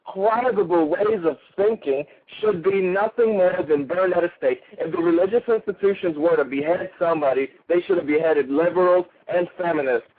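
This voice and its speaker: A man's voice: high voice, fairly quick, hardly any pauses. A man with an Italian accent calling in to a radio show, ranting crudely and heatedly with frequent stumbles. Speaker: caller. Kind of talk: call-in radio rant. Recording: tinny phone line.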